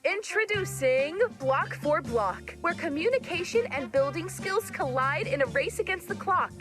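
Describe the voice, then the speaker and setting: A woman's voice: high voice, expressive, a little slow, over music, voice pushed up. A woman playing a bubbly game show announcer, reading a scripted voiceover with showy emphasis. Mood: upbeat, inviting.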